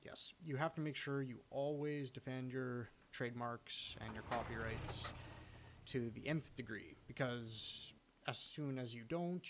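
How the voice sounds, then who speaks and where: A man giving a casual, unscripted screen-recording walkthrough of his own software, halting as he thinks aloud. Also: mid-range voice, slight background noise. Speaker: software hobbyist demonstrating own add-on. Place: indoors, at a computer recording the screen.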